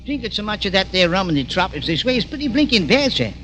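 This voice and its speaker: An actor playing a deferential, fussing British ship's bartender in 1950s radio drama. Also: male voice, high voice, very animated, quick pace. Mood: deferential, concerned. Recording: old radio drama, music behind.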